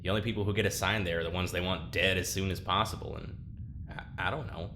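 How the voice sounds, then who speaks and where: An actor playing a weary, sardonic roommate in an audio drama, with a deadpan, sarcastic delivery. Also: male voice, low voice, fast. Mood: tired, dry. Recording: noisy background.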